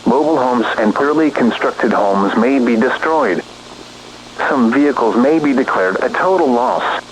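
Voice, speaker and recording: A man's synthetic, formal voice reading a scripted emergency weather warning in a flat, robotic alert-system delivery. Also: medium pitch, unhurried, a little hiss or hum, poor audio.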